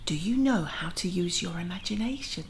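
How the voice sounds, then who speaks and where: A woman playing a gentle, kindly magical garden auntie who leads a children's guided meditation in a soothing, often near-whispered voice. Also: on the low side, lively intonation, normal speed, a little hiss or hum. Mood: gentle, inviting. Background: music.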